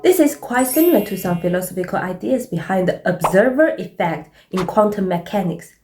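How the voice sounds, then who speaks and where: A woman presents a scripted video essay, speaking earnestly and deliberately in non-native English. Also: medium pitch, lively intonation, background noise. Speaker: presenter of a literary commentary.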